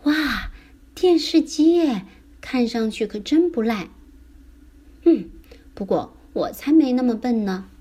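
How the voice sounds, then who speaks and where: A woman voicing an eager, clever young boy in a children's story, with an excitable, exclamatory delivery. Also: on the high side, normal speed. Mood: amazed, sly.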